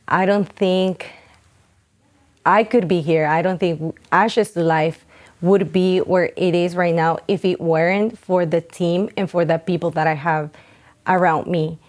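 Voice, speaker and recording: A woman's voice: fairly low pitch, fairly even intonation, a little slow, darkish tone. A young woman from Honduras, founder of a nonprofit, giving an earnest, heartfelt testimony with some hesitations. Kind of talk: documentary testimony interview.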